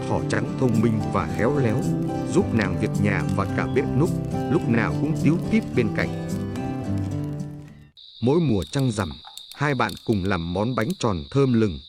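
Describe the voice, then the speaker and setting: Male narrator, fairly low pitch, fairy-tale storytelling, scripted reading, loud music behind. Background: prominent background music.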